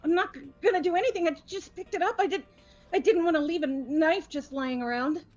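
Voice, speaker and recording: A woman's voice: extremely high pitch, a little fast, a little mellow, muffled recording. A woman voicing a nervous, fawning teenage girl with a stutter in a game playthrough. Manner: fawning, defensive, jittery. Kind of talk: voiced visual novel game playthrough.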